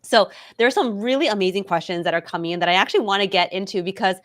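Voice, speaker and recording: A woman's voice: medium pitch, rapid-fire, dry recording. Dry-miked woman hosting a live panel. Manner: conversational, animated retelling of her own thoughts. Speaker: host. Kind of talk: live-stream panel discussion.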